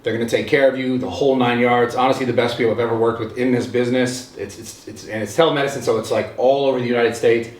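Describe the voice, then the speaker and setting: A man's voice: medium pitch, steady tone, fast talker, hardly any pauses. A man in his thirties reading a sponsor ad, earnest and urging listeners directly. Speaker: podcast host reading an ad. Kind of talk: ad read. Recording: roomy sound, mic at a distance.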